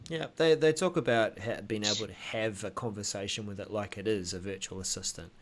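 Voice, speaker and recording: Male show host, slightly low register, conversational and halting, explaining tech news off the cuff. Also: a little hiss or hum. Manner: halting, hesitant, thinking aloud. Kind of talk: casual tech update talk.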